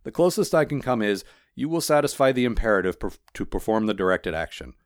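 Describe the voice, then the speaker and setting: A man voicing a patient, tender woman who explains things in a measured way. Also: on the low side, very animated, dry recording, clean studio sound. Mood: patient, explaining.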